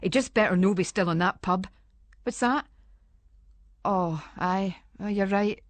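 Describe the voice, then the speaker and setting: A woman's voice: mid-range voice, unhurried, no room sound, natural voice. An anxious Scottish housewife, played by a woman, chatters away in broad, homely dialect. She rambles nervously in the manner of a radio play monologue. The sound is dry and close, with no room around her. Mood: concerned.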